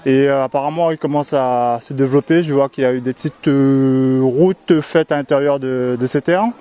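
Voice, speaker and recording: A man in his thirties from French Guiana, talking casually and calmly to the camera with frequent hesitations. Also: mid-range voice, smooth. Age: 30s. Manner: calm, unhurried, with hesitations.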